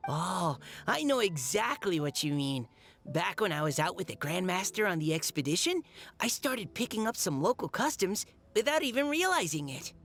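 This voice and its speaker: A woman's voice: on the low side, sing-song, moderate pace, a bit bright, soft background music. A woman voicing a friendly, easygoing young woman adventurer in a video game. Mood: relaxed agreement.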